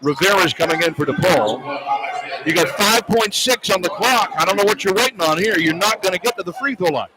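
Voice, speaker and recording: A man's voice: high-pitched, animated, a little fast, music in the background, rough recording. A man calling live basketball play-by-play as a radio announcer.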